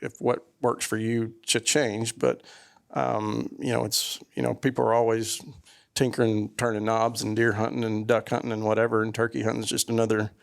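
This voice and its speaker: A man's voice: lowish voice, little pitch movement, normal speed, creaky. A man on a casual panel, rambling in an easygoing way with frequent restarts. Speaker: panel guest.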